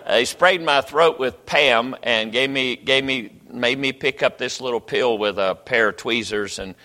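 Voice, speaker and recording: An older pastor speaks from the pulpit in a bright, forceful voice. His talk is folksy and rambling, full of false starts, and it rings through a large church hall with a long echo. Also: medium pitch, a little slow. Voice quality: folksy, rambling with many restarts. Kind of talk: sermon. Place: church sanctuary.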